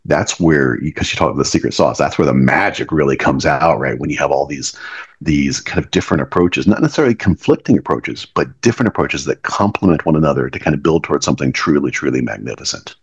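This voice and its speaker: Very deep, husky-voiced man. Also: lively intonation, quick pace, lo-fi sound. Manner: earnest, repeats and restarts words often. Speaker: guest, business leader. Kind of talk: reflective podcast conversation.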